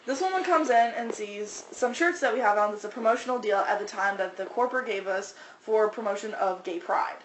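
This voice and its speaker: A woman with a small, soft voice, telling restaurant-work stories in casual chat and mimicking customers. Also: mid-range voice, a little fast, hardly any pauses, roomy sound, poor audio. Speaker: restaurant worker, podcast guest.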